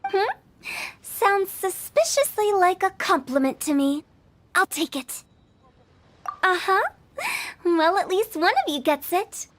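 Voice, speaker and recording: A woman's voice: very high voice, expressive, takes it slowly, pauses often, exaggerated voice. A woman voicing a playful, mischievous young woman who teases and lectures with flair. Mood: teasing. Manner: teasing, sing-song, lecturing with flair.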